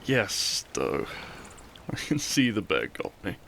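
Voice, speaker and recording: Man acting a cheeky low-voiced bandit outlaw. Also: big pitch swings, slow pace, gravelly, a bit bright, a little hiss or hum, full character voice. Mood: teasing, flirtatious. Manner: teasing, gallant, dramatic. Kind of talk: audio roleplay acting, addressing the listener.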